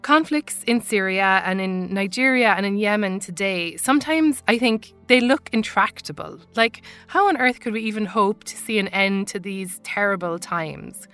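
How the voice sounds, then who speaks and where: Bright, clear Irish woman host. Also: medium pitch, moderate pace, hardly any pauses, faint music behind. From Ireland. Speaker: podcast host. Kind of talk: scripted narration.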